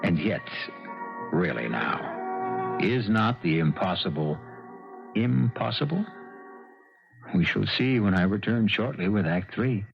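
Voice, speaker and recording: A man hosting a 1970s radio mystery series with portentous, rhetorical scripted narration and deliberate pauses. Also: on the low side, animated, creaky. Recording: dull vintage radio recording. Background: dramatic music.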